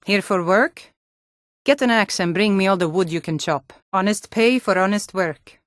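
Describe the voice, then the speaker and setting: A woman's voice: mid-range voice, no echo, studio quality, close to an everyday voice. A woman voicing a proud, blunt adult Nordic townswoman in a fantasy video game, with earnest, dramatic delivery. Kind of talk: scripted fantasy game dialogue.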